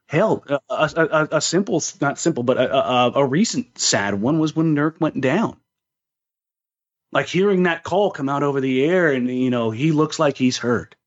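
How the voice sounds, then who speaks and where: A man's voice: on the high side, animated, moderate pace, dull audio. A man co-hosting a sports podcast in casual chat, often repeating words as he thinks aloud.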